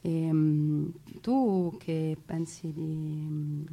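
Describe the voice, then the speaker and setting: A woman playing a hungry, wavering male prisoner of war in a stage play, halting and repetitive. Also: low-pitched, fairly even intonation, warm tone, pitched below the performer's own voice, exaggerated voice. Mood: hesitant, uneasy.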